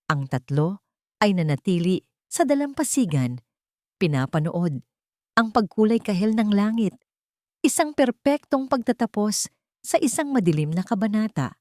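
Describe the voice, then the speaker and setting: A woman's voice: medium pitch, a little mellow, dry recording, clean studio sound. A woman giving an earnest, scripted dramatic narration as a sentimental storyteller. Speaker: storyteller and channel host.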